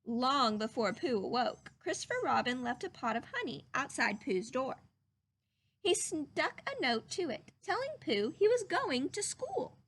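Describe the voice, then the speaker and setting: A woman reading a children's story aloud in an animated, emphatic, teacherly way. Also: high-pitched, husky. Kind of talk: expressive read-aloud narration with teacherly questions to listeners.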